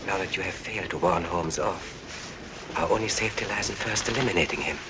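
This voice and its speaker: An actor playing a cold, sinister hired killer in a 1940s film, speaking tersely and impatiently. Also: male voice, deep voice, fairly quick, natural voice. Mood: cold menace. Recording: noisy old 1940s film soundtrack.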